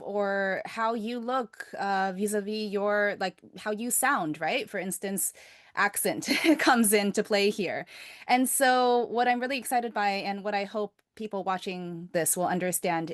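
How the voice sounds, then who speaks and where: A woman's voice: mid-range voice, no echo, clean studio sound. A woman hosting a casual conversation, speaking in an earnest and reflective way. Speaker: host.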